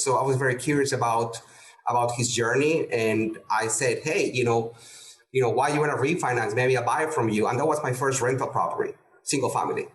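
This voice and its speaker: A middle-aged man answers interview questions casually, stumbling through restarts and fillers. His voice sits at a medium pitch. The recording has a roomy, echoey sound. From Peru. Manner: halting, many restarts and fillers. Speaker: guest real estate investor.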